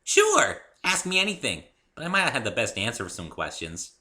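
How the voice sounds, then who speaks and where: A man plays a bubbly young cartoon pony girl. The voice is pushed up high and sharp, perky and full of exclamation, with lively swings in pitch. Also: fairly quick, heavily acted. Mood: excited.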